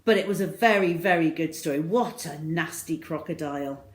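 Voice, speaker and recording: A woman telling a children's story in an expressive, deliberate way with dramatic emphasis. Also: low-pitched.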